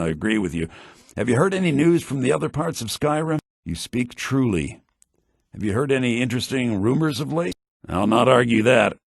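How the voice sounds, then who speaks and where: A man's voice: mid-range voice, slightly rough, dry recording, clean studio sound. An actor playing a shifty, irritable townsman and dealer in a fantasy video game, with a sardonic, easily exasperated manner. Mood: polite small talk. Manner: expressive, sardonic, quick to exasperation. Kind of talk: video game character voice lines.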